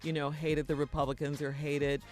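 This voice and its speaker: A woman's voice: deep voice, little pitch movement, moderate pace, darkish tone, faint music behind. An American woman co-hosting a morning radio show, chatting casually and recapping the news.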